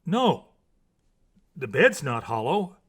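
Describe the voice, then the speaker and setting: A man playing a hunter in a storybook, a patient teacher explaining things in clear, divided tones. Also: on the high side, big pitch swings, slow, fairly bright tone, full character voice.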